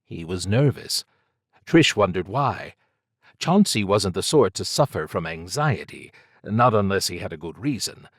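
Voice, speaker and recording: A man narrates an audiobook story in a low voice. The sound is dry and close, and the delivery is scripted prose narration. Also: big pitch swings, measured pace, a bit bright, no echo.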